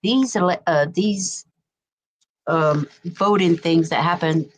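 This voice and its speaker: A woman's voice: on the low side, slow. A woman from Wisconsin, a guest in a casual chat. She is chatty and repeats herself.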